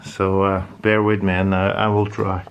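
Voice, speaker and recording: A Norwegian man speaking English as a second language, talking casually while working on a repair, with halting speech full of restarts and fillers. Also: low voice, level tone, creaky, muffled recording, slight background noise. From Norway. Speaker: DIY repair vlogger.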